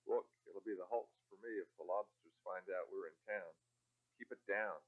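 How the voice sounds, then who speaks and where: Man reading as a gruff, stern colonial big brother. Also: low-pitched, moderate pace, narrow, tinny audio. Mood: stern urgency. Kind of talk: audiobook character dialogue.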